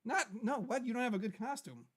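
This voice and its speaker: A man hosting a live comedy web show, brash and bossy, joking and talking over his cohost, his voice getting hoarse. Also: very high voice, very animated, fast talker. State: losing his voice. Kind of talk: loud comedic live-stream chat.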